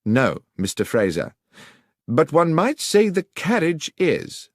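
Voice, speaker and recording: A man voicing a brisk, assured detective who explains his deductions precisely and with authority, as audiobook dialogue. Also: a little high, wildly swooping pitch, no echo, clean studio sound, pitched above the performer's own voice, exaggerated voice. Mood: commanding.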